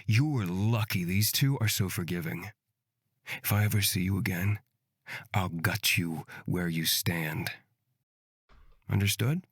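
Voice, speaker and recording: Woman putting on a low, husky, cocky male killer. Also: takes it slowly, long pauses, no room sound, full character voice. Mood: cold menace. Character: cocky, bloodthirsty male fantasy fighter, smugly menacing. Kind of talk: visual novel character reading.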